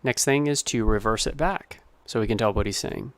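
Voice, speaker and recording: A man's voice: fairly low pitch, normal speed, a bit bright, no room sound, studio quality. A man giving an informal tutorial as a sound designer, explaining his process step by step. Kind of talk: informal screen-recorded tutorial narration.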